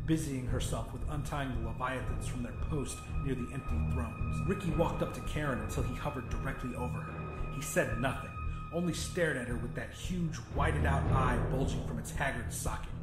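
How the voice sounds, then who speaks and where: A man's voice: medium pitch, normal speed, roomy sound, loud music behind the voice, poor audio. A man giving a dramatic, scripted narration of a horror story as an audiobook narrator.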